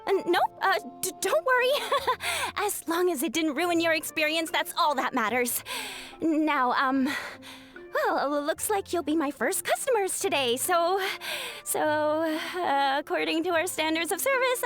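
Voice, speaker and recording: A woman's voice: extremely high pitch, lively intonation, unhurried, a bit bright, exaggerated voice. A woman voicing a panicky, clumsy, apologetic young girl spirit in a video game, stammering and over-eager to please. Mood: nervous. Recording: background music.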